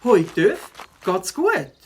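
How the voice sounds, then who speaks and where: A woman's voice: mid-range voice, big pitch swings, slow pace, a little mellow, a little hiss or hum. A woman voicing a young, outspoken, skeptical boyish beaver biker in a children's audio drama. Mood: curious.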